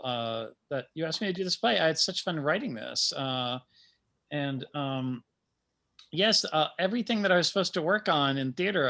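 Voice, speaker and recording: A man who is a playwright, talking in a halting, effusive way in an informal discussion. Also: fairly high pitch, gravelly, pushed and bright. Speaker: playwright, actor and teacher.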